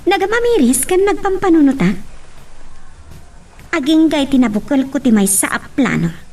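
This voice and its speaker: High-voiced, animated young daughter. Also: female voice, moderate pace, recorded from a distance. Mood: scheming. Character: spoiled young daughter, remorseful yet plotting. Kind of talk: radio drama acting in Ilocano.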